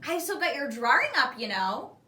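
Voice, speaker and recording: A woman's voice: high voice, sing-song, moderate pace, bright, forceful tone, roomy sound, voice pushed up, exaggerated voice. A woman voicing a friendly, chatty waitress and mother in a storybook, with an upbeat manner. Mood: friendly, proud.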